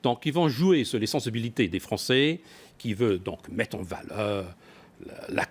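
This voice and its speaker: A man speaking as an expert guest in a broadcast business interview, often pausing to rephrase and repeat his words. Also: lowish voice, expressive, husky. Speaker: business expert guest, former company executive.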